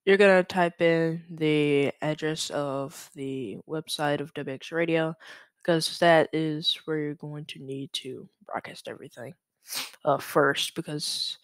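A young man talks through a software tutorial at a slow pace. His delivery is informal and halting, as he thinks aloud, and the sound is dry and close, with no room echo. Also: on the high side, clean tone. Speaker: tutorial presenter. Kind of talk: casual screen-recorded software tutorial.

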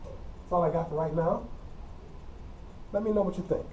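A man's voice: on the high side, normal speed, darkish tone, muffled recording. A middle-aged man with a Midwestern accent giving a college communication lecture in an empty classroom, explaining plainly and repeating key points. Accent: Midwestern American. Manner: plain, repetitive teacherly explaining with frequent coughs. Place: empty classroom with whiteboard and air conditioner. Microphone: camera mic from across the room. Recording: roomy, distant camera audio with faint hum.

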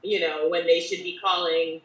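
A woman's voice: fairly low pitch, level tone, moderate pace. A woman in her thirties making earnest, formally phrased points in a panel discussion over a video call. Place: bedroom at home. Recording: dull, roomy, distant video-call sound.

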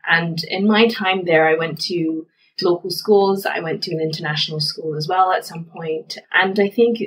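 A woman's voice: lowish voice, fairly even intonation, normal speed, fairly bright tone, distant mic, low-quality recording. A woman in her thirties, raised in West Africa, an NGO director answering interview questions earnestly and reflectively. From grew up in Ghana, West Africa. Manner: earnest, reflective, with frequent "you know". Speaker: executive director of a youth NGO.

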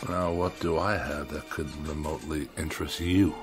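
An actor playing a wary, self-absorbed man in a radio drama, questioning everything in a deliberate, skeptical way. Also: rumbling low, steady tone, music in the background.

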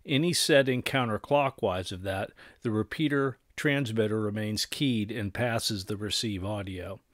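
A middle-aged man presenting radio equipment in scripted technical narration, with measured, formal and precise wording. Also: lowish voice, fairly bright tone, no room sound, clean studio sound.

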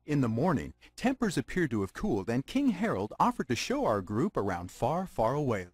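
A chatty, sardonic fairy-tale storyteller for a game. His voice is husky and very lively in pitch. It is recorded dry and close. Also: brisk, dry recording. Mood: sly, confiding. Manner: conversational, sardonic asides. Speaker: storybook narrator. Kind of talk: comic storybook narration.

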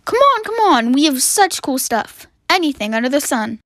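A woman's voice: high voice, very animated, normal speed, fairly bright tone, no echo. A pushy, boastful shop seller, played by a woman, with eager patter. The voice swings up and down in a dry toy-game roleplay.

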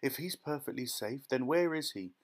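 A man voicing a hysterical, outraged mother in a children's storybook, shrill and indignant, snapping out the words. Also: lively intonation, moderate pace, lightly acted. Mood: furious indignation.

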